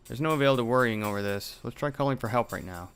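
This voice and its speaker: A man's voice: medium pitch, fast, fairly bright tone, soft background music. A young man hosting a let's play, reading out a horror game's dialogue with some drama and swearing in asides. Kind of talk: reading game text aloud with commentary.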